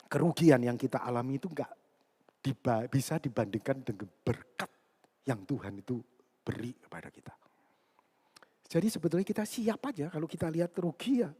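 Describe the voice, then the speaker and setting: Animated preacher in a reverberant hall. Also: male voice, medium pitch, moderate pace, long pauses, breathy edge. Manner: conversational, repeats key words and phrases for emphasis. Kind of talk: sermon addressed to a congregation, with personal anecdotes.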